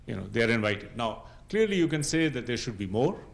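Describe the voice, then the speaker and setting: A middle-aged man speaking unscripted on a panel, explaining in a measured way and often checking that listeners follow. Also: mid-range voice, sing-song, creaky. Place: university lecture room, seated at a panel table.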